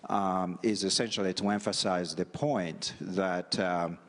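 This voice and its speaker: A middle-aged man speaking on a conference panel, halting and pausing often with many fillers. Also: low-pitched, steady tone. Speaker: panelist, engineering dean. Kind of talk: panel talk. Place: large conference hall. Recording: long hall reverb.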